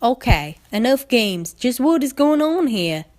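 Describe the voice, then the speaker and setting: A woman's voice: fairly high pitch, sing-song, unhurried, a little mellow, pitched above the performer's own voice. A woman voicing a stern, no-nonsense country mare, an older sister with a firm, folksy drawl.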